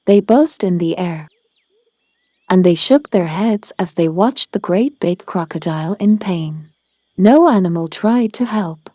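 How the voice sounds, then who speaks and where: A woman's voice: lowish voice, animated, measured pace, dark tone. A woman narrating a children's storybook as a read-aloud. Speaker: storybook reader.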